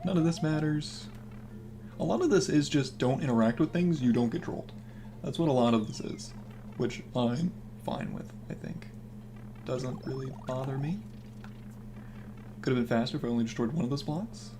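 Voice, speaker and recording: A young man with a naturally hoarse voice, casually commenting on a game he plays while reading and answering his chat. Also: moderate pace, pauses often, background noise. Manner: low-key, mumbling, encouraging. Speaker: game streamer.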